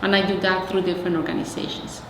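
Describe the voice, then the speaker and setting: A woman's voice: lowish voice, level tone, fast talker. A woman from Mexico, a native Spanish speaker with a Mexican accent, explaining things as the guest in an oral history interview. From Mexico City, Mexico. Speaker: interviewee, arts nonprofit representative. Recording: echoey reverberant room, faint noise.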